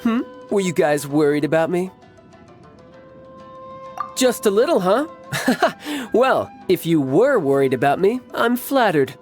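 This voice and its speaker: A man's voice: high-pitched, very animated, unhurried, pauses often, soft background music. A man playing a cocky, playful young warrior with a light youthful voice in a video game. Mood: teasing, amused. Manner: breezy, teasing, boastful.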